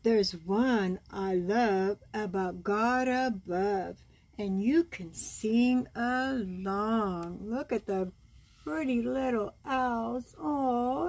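An older woman in her sixties reads a children's bedtime story at home. Her voice sits fairly high for a woman and has a husky, worn grain. She goes very slowly, expressive and gentle, adding cooing comments on the pictures. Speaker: grandmother reading storybooks to children. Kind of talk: read-aloud bedtime story with side comments. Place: small room at home, indoors.

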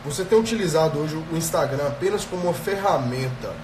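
A man's voice: on the high side, fast, reverberant, recorded from a distance, noisy background. Brazilian man live-streaming with a cold. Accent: Brazilian Portuguese. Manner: energetic, addresses viewers directly, frequent "tá?" checks. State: has a cold. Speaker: productivity coach hosting a live stream. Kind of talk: live stream talk to viewers. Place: small indoor room. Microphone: earphone headset mic on a phone.

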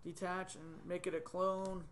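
A middle-aged man with a fairly high voice walks through a 3D modeling task on screen. He thinks aloud as he goes, filling pauses with ums and correcting himself on the fly. He is recorded on a desktop computer mic in a small room. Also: flat delivery. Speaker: software tutor. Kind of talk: screen-recorded software tutorial. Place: small indoor room, webcam in corner of screen.

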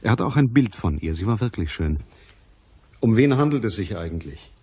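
An actor playing a sceptical private detective in a radio play, speaking in a dry, measured, matter-of-fact way. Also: male voice, on the low side, very animated, a little mellow, breathy edge, no echo. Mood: dry, narrating. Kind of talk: radio play narration and dialogue.

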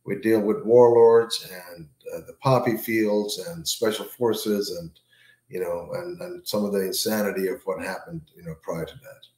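Author guest, male, 60s, casual and rambling, lowish voice, roomy video-call audio. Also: fairly even intonation, moderate pace, a little mellow. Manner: easygoing, many fillers and repeated words. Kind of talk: casual interview chat. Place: home room with plant, indoors.

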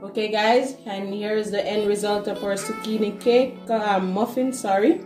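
A woman's voice: mid-range voice, fairly even intonation, a little mellow, far from the mic. A woman hosting a home cooking video, talking through the steps plainly with fillers in her kitchen. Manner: unhurried, plain step-by-step talk with fillers. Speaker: home cooking channel host. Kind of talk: casual cooking demonstration. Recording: roomy kitchen, voice off-mic, faint music.

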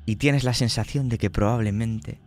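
A man's voice: medium pitch, moderate pace, darkish tone, a little hiss or hum. A man narrating a tabletop horror game as game master, describing scenes vividly and dramatically.

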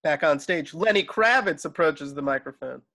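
A man reads out stage directions as narrator of an online table read. His voice sits a little higher than most men's and is picked up over a video call. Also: animated, moderate pace, gravelly, pushed and bright.

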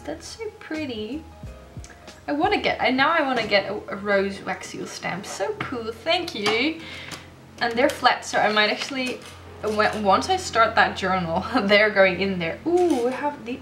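A young woman whose first language is Dutch, chatting excitedly in English as she opens mail at her desk at home, often breaking off and restarting. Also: a little high. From Netherlands. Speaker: stationery vlogger. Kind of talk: casual unboxing chat.

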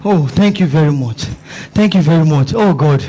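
A man's voice: a little high, moderate pace, mellow. A Nigerian man acting in a stage comedy as a panicked father, pleading and stammering on a small stage. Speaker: stage actor playing a corrupt father.

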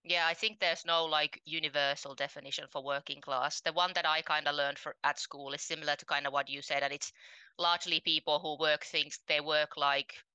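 Low, flat-voiced Finnish-born woman. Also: brisk, smooth, no room sound. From Finland. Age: 20s. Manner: earnest, candid storytelling with frequent ums. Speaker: podcast guest. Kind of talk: casual interview talk. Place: home room, indoors. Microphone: headset.

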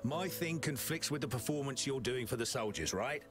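A man's voice: medium pitch, expressive, moderate pace, natural voice. A man playing a jovial, hearty shop owner in a video game. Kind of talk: English video game voice acting. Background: music.

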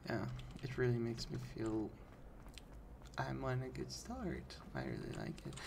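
Slow, creaky-voiced man streaming. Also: mid-range voice, wildly swooping pitch, darkish tone, a little hiss or hum. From Turkey. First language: Turkish. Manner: halting, deliberate pronunciation. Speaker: game streamer. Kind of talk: casual live gameplay commentary. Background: game ambience.

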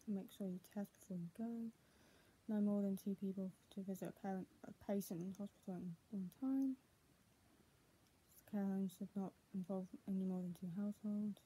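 A young woman vlogger with a husky, muffled voice, speaking at home into a tablet. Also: medium pitch, warm tone. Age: young adult. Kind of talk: casual home vlog, partly reading aloud. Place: small room at home, indoors. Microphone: tablet built-in mic at arm's length.

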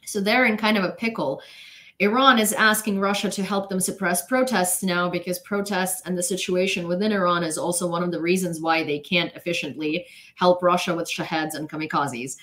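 A woman's voice: on the low side, steady tone, brisk, a bit bright. A young woman whose first language is Ukrainian, giving blunt, opinionated news commentary on a casual livestream from her bedroom, with many fillers and restarts. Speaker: news vlogger. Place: small bedroom at home.

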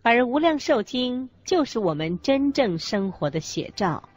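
A woman's voice: a little high, big pitch swings, measured pace. A woman co-narrating a scripted religious radio drama, phrasing each teaching carefully. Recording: muffled audio with music bed. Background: background music.

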